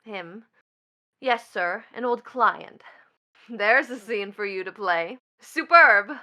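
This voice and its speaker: An actress playing a bitter, proud young stepdaughter in a stage play, with an emphatic, mocking, dramatic delivery. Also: female voice, medium pitch, expressive, slow, bright, forceful tone, heavily acted. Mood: wounded scorn. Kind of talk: theatrical stage play acting.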